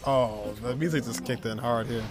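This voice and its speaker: A man's voice: mid-range voice, normal speed, noisy background. A young man in his twenties giving casual, slangy game reactions in short remarks as a streamer. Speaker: gaming streamer. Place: indoor room at a streaming setup. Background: video game music.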